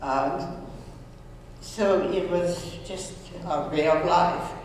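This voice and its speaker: A woman speaks slowly and earnestly, pausing and restarting her phrases. She is heard from a distance across a big, echoing school hall. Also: on the low side, darkish tone, a little hiss or hum. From Hungary. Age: 90s. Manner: earnest, halting, with frequent restarts. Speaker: Holocaust survivor speaking to students. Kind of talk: personal testimony talk. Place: school room or auditorium. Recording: echoey hall, voice from far off.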